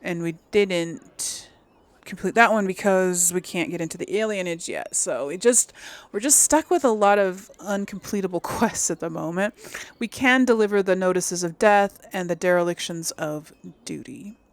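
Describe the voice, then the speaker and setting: A woman's voice: lowish voice, normal speed, dry recording. A woman casually commentating on a game, rambling and thinking aloud in an easily amused, chatty way. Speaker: gameplay host.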